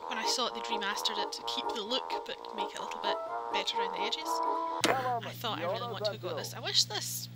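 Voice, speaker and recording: A woman's voice: fairly high pitch, low-quality recording. A woman giving casual, rambling, slightly hesitant commentary as she plays a video game. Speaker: gaming video host. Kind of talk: casual let's play commentary. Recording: loud game music behind voice. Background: game music and sound.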